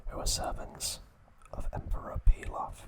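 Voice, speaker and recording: Male ASMR host, breathy whisper, very slow pace, hushed and soothing trivia reading.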